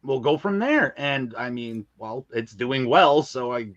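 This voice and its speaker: Male podcast guest, medium pitch, colloquial and emphatic, very dry sound. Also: animated, measured pace, fairly bright tone, dry recording, highs cut off. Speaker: guest, audio drama writer and filmmaker. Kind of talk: casual podcast interview.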